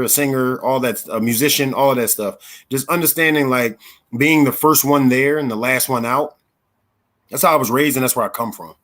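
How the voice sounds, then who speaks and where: A man in his thirties from Philadelphia, telling his life story in a relaxed, chatty way as an interview guest. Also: mid-range voice. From Philadelphia, USA. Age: 30s. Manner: relaxed, chatty, with fillers and laughs. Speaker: interview guest, actor and performer.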